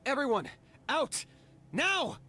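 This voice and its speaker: An actor playing a cool, self-assured young warrior in a video game, speaking tersely and with command. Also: male voice, extremely high pitch, sing-song, slow pace, pushed and bright, a little hiss or hum, exaggerated voice. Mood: urgent command.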